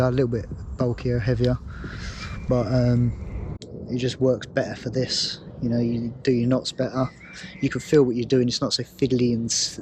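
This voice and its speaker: A British man, slightly hoarse, talking casually to camera outdoors in the woods, rambling and thinking aloud with many ums and restarts. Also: medium pitch, a little mellow, a little hiss or hum. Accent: British English. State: slightly hoarse, losing his voice. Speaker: outdoors vlogger. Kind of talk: casual vlog talk to camera.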